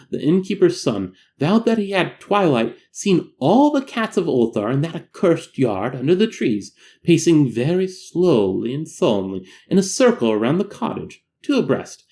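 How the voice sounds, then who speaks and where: Male story narrator, somewhat higher-pitched, highly expressive melody, measured and solemn dramatic delivery for classic fiction. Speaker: amateur audiobook narrator.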